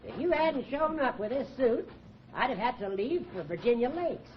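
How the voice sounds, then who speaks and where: A man's voice: very high voice, very animated, normal speed. An actor playing a fussy, indignant older male judge in a 1940s radio comedy. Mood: grumbling, baffled. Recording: muffled, noisy old radio recording.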